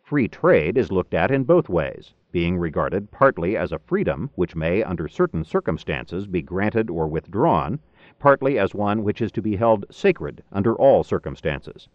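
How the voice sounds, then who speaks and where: Male narrator for scholarly book: deliberate, rhetorical, widely varied intonation, dry close sound, muffled lo-fi tape. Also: low voice, sing-song, dry recording. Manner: deliberate, rhetorical, formal delivery with emphatic phrasing. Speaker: audiobook narrator. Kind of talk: scripted narration of a philosophy book. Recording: dull lo-fi cassette tape recording.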